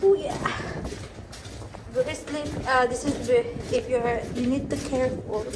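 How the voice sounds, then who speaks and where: A high-pitched young woman talks playfully as she walks a coastal trail, joking and laughing with her family. The sound comes through a handheld phone, with loud wind and outdoor noise under it. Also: fairly even intonation, a little slow. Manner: chatty, playful, laughing often, mixing Tagalog and English. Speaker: vlogger filming a hike. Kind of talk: casual chat while walking. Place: coastal clifftop trail and sandstone tunnel outdoors. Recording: noisy outdoor phone recording.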